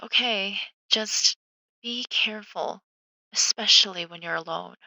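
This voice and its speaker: A woman's voice: mid-range voice, measured pace, vocal fry, sharp tone, no room sound, full character voice, poor audio. An actress playing a supportive, chatty young woman, a protective best friend, in a naturalistic audio drama. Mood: uneasy, concerned.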